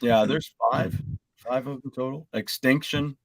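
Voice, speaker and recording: A man's voice: medium pitch, measured pace, no room sound. A man chatting casually and teasingly as a panelist on a video game podcast. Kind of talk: casual chat.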